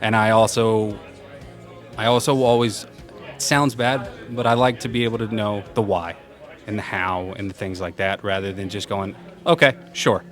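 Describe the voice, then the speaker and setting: A young man with a loud voice, answering interview questions casually at a conference and laughing often. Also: lowish voice, little pitch movement, normal speed, faint music behind. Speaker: event program manager, interview guest. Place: conference venue.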